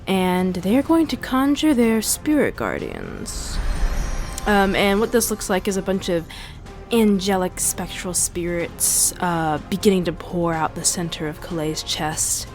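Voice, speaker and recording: A woman's voice: on the low side, lively intonation, measured pace. A woman playing a tabletop game, describing her character's moves. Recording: faint music bed under voice. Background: faint music.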